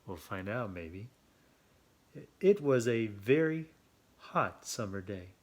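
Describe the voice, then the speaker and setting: A man hosts a children's read-aloud in an expressive, playful way. He leans on words for emphasis and drops chatty asides into the narration. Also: lowish voice, slow. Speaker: children's story reader and host.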